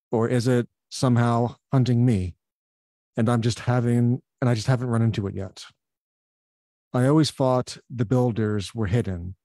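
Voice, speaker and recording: A man at an open mic reading his poems aloud in a measured, slow manner over a video call. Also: medium pitch, dark tone, no echo, clean studio sound.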